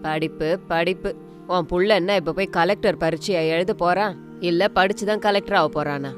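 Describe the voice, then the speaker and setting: A woman's voice: fairly low pitch, normal speed, music in the background, voice pushed down, lightly acted. A woman voicing a harsh older mother-in-law in a family story, scolding and sarcastic.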